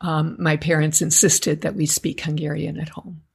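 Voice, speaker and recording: A middle-aged woman from the United States, answering interview questions in a measured, articulate way. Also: sits low, little pitch movement, vocal fry, husky. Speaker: guest, educators association member.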